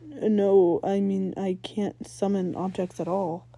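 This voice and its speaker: A woman voicing an earnest, unsure teenage boy hero in a read-aloud story, speaking in a hesitant, halting way. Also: medium pitch, steady tone, gravelly, mellow. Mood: doubtful.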